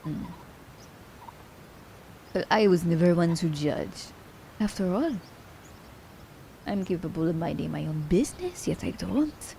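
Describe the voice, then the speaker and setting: A woman acts out an immortal female assassin, sly and haughty, in an intimate ASMR drama. Her voice is lowish and mellow, with plenty of fry and a breathy edge. She speaks in a theatrical, old-fashioned formal way, teasing as she goes. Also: animated, measured pace, pauses often, slight background noise. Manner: theatrical, formal storybook diction. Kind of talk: ASMR roleplay audio drama.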